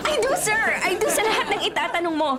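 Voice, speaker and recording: An actress playing an excitable, lovestruck young college student in a radio drama, gushing theatrically in mixed Tagalog and English. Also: female voice, extremely high pitch, quick pace, a loud crowd. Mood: swooning, giddy. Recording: music playing behind the voice.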